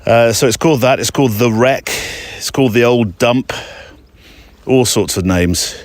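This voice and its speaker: A man's voice: medium pitch, moderate pace, dry recording. A man hosting a podcast outdoors, talking casually to listeners in a chatty, rambling way with hesitant asides. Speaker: podcast host. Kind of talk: casual walking monologue to listeners. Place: outdoors, walking in an overgrown park.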